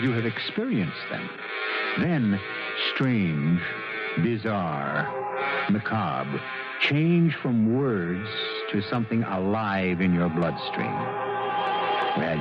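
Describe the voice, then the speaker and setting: Old radio with big music behind, spooky urbane host, low voice swooping, deliberate pauses. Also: male voice, full character voice. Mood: ominous, teasing. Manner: measured, portentous, deliberate pauses. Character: ominous, urbane host of macabre mystery tales. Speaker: host and narrator. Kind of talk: 1970s radio mystery narration. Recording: dull vintage radio, loud music behind.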